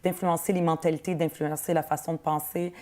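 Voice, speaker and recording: A woman in her thirties, from Palestine with Arabic as her first language, speaks with a Quebec French accent as an interview guest, earnest and quick to laugh. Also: deep voice, flat delivery, darkish tone. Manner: earnest, laughs easily, repeats words. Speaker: politician, guest. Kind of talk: interview answers.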